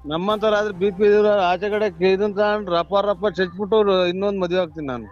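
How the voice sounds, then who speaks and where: A man's voice: sits high, fairly even intonation, a little fast. A middle-aged man, talking informally on the phone in a rambling, blustery, emphatic way. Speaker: prank call recipient. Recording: dull lo-fi phone call. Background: faint music.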